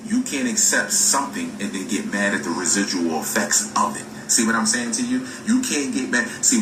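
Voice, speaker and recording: A man in his forties giving an impassioned, unscripted, preachy talk, emphatic and repetitive, swearing as he challenges the listener. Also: medium pitch. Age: 40s. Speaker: speaker on a spiritual talk video. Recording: echoey, distant mic with music behind.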